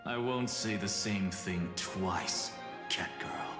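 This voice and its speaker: A man's voice: fairly low pitch, slow pace. A man voicing a proud, sardonic scholar sage in a video game, speaking in a pompous, theatrical manner. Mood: haughty, stern. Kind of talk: dramatic video game voice acting. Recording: echoey hall-like reverb with music.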